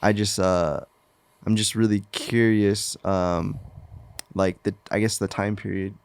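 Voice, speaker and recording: A man co-hosting a podcast, chatting casually with halting phrasing padded with "you know". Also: on the low side, steady tone, creaky, clean tone, no room sound. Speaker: podcast co-host. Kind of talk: casual discussion of games and TV.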